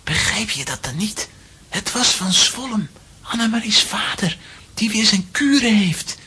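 An actress playing a sensible, matter-of-fact young boy in a children's audio drama, with a husky voice. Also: mid-range voice, expressive, unhurried, pushed and bright, exaggerated voice. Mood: sensible, mildly impatient.

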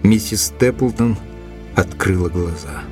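An actor playing a gentlemanly detective's companion who recounts the case in a measured, literary audio-drama narration. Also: male voice, low-pitched, expressive. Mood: tense storytelling. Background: music.

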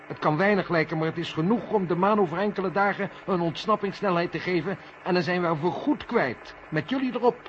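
An actor playing a serious, matter-of-fact mission control operator speaking with urgency in a radio drama. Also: male voice, high voice, brisk, few pauses, natural voice. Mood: serious, insistent. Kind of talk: 1970 Dutch radio drama acting. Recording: muffled, noisy old radio recording.

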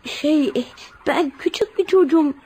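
A woman's voice: sits high, moderate pace, mellow, lightly acted. A woman playing a small, polite, timid, well-bred boy who speaks hesitantly and respectfully in a Turkish radio play. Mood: shy, respectful. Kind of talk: Turkish radio theater acting.

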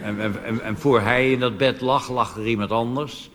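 A Dutch man doing a cabaret monologue on stage, delivering deadpan punchlines and jumping into animated put-on voices. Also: medium pitch, normal speed. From Netherlands. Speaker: cabaret comedian. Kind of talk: stand-up monologue. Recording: reverberant hall with audience noise.

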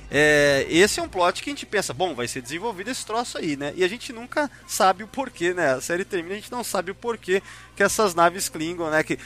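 A man's voice: high-pitched, fairly bright tone. A Brazilian man hosting a casual discussion of a sci-fi series, explaining insistently and often checking that others agree. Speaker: podcast host leading the discussion.

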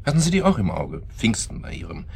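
An actor playing a bitter, accusing club member in a radio drama, speaking in a pointed, sardonic way. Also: male voice, mid-range voice, wildly swooping pitch, fast, creaky, a little mellow, a little hiss or hum. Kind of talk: naturalistic radio drama acting.

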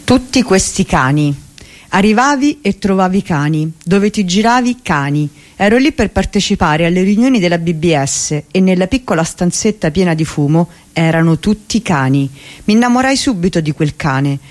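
A reader giving a measured, reflective first-person memoir reading on radio. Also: mid-range voice, animated.

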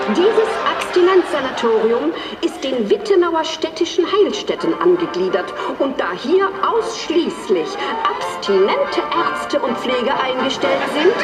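A 1930s newsreel announcer, her voice climbing high and ranging widely as she declaims. She sounds distant, with long reverb and loud music under her. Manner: declamatory, questioning rhetoric. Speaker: newsreel narrator.

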